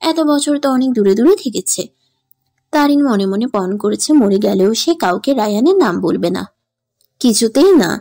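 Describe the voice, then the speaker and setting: A woman's voice: a little high, animated, normal speed, mellow, dry recording. A woman narrating a scripted dramatic story as its storyteller.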